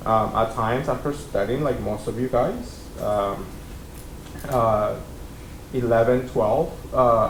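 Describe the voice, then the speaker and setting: A man in his thirties, from Mexico, tells his story to a college class from a seated panel. He speaks into a desk mic in a relaxed, conversational way, filling his pauses with ums and uhs. The room sounds echoey, and a faint rumble runs underneath. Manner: conversational, many ums and uhs, gestures with hands. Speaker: keynote speaker. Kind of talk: personal story talk to students. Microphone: desk mic on stand.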